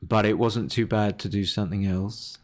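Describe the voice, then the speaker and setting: A man's voice: on the low side, steady tone, normal speed. A man giving casual live game commentary as a streamer, hesitant and thinking aloud as he goes. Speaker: livestreamer analysing his backgammon games.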